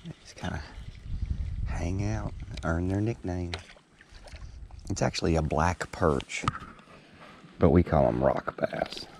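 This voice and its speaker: A man with a very low, gravelly voice mutters casual running comments to himself as he fishes. He is out on a river in a kayak, and a head-mounted action camera picks him up. Faint outdoor noise sits behind him. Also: expressive, takes it slowly, long pauses. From Tennessee. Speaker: fishing guide.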